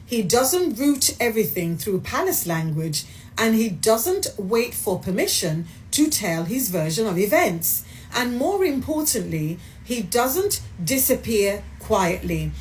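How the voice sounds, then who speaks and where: Brief: woman commentator, slow and deliberate, very expressive pitch, faint background noise. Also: low-quality recording. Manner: emphatic, rhetorical questions, deliberate delivery. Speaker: commentator in a played clip. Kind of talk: opinion monologue.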